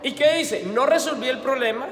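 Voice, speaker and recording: A man with a Colombian accent, a priest giving a homily at mass. He is lively and keeps asking the congregation questions, then answering them himself, and he tells stories from his own life. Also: very high-pitched, moderate pace. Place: church. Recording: echoey church hall, faint background noise.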